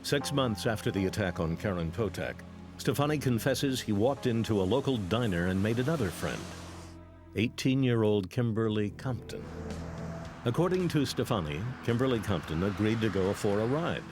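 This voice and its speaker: A man narrating a true-crime documentary in a scripted, dramatic and deliberate style. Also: fairly low pitch, lively intonation, music in the background.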